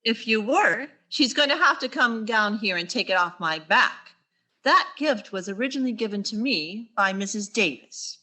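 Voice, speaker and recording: Lady doing wry wisecracking teacher on old radio sitcom, highish bright voice. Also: expressive, moderate pace. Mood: sardonic. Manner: dry, sardonic delivery. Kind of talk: 1940s radio sitcom acting.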